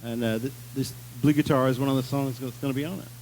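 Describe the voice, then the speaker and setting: A man's voice: mid-range voice, steady tone, fast talker, a little mellow, slight background noise, poor audio. A man from England leading a band, answering interview questions in a joking, self-deprecating way. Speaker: band leader. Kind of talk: casual interview.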